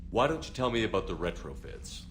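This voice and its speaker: An actor playing a weary, resolute military spaceship commander in a video game, terse, steady and grave. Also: male voice, lowish voice, brisk, slight background noise. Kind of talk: video game cutscene dialogue.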